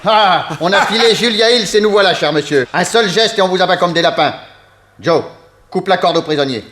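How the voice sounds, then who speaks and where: An actor playing a bold, excitable young detective's helper in a radio serial. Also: male voice, high voice, fairly quick, roomy sound, slight background noise. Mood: urgent, commanding.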